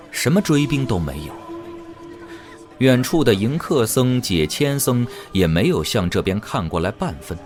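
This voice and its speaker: A male narrator reads scripted fantasy fiction with dramatic flair. His voice is fairly low, moving with animated intonation over light music.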